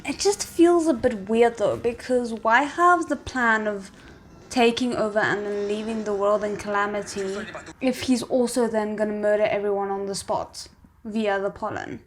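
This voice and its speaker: A woman's voice: medium pitch, moderate pace. A woman hosting a reaction video, commenting casually and thinking aloud in a hesitant way.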